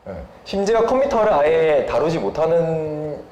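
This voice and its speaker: High-voiced, lively man explaining in echoey room. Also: normal speed, dull audio. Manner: polite, frequent short hums between phrases. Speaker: software developer, interview guest. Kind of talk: explanatory interview talk about a product. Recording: roomy echo, faint background noise.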